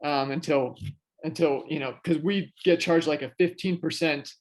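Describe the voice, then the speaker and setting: Man, podcast guest, high-pitched voice, casual storytelling, lots of fillers. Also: steady tone, moderate pace, rough recording. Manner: chatty, many fillers and restarts. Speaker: podcast guest, real estate investor.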